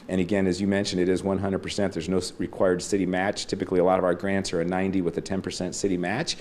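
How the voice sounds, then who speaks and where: A man's voice: deep voice, level tone, fast, a little mellow. A man speaking as an airport official, giving explanatory answers in an interview.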